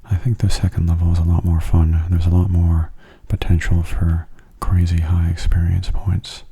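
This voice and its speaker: A man giving soft-spoken ASMR commentary over a game in a hushed, breathy murmur, calm and unhurried, close to the microphone. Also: low-pitched. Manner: calm, unhurried, musing aloud. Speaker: gaming ASMR host.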